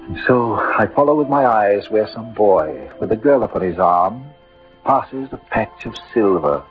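A man's voice: lowish voice, close to an everyday voice. An actor playing a proud, poetic, melancholy swordsman in a declamatory 1940s radio drama style. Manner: grandiloquent, declamatory, savouring verse. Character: Cyrano, swaggering poetic swordsman, proud, melancholy about his ugliness. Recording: muffled old radio recording, music behind.

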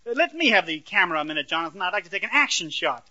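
A man's voice: high voice, sing-song, racing, pushed and bright, full character voice. An actor playing an eager, easily flustered young man as an earnest straight man in 1940s radio comedy. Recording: dull, noisy vintage radio recording with clicks.

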